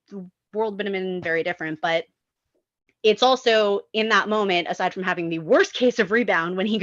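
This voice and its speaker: A woman's voice: mid-range voice, a bit bright, no room sound, muffled recording. A woman in a casual group discussion, talking at length as a chatty, emphatic explainer. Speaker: panelist discussing a film and its source book.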